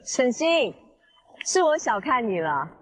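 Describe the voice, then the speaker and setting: Smug young female hypnotist villain played by a woman; audio drama, menacing calm, long reverb. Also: a little high, slow pace, a little hiss or hum. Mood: smug threat. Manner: calm, gloating, threatening. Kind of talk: dramatized audio fiction acting.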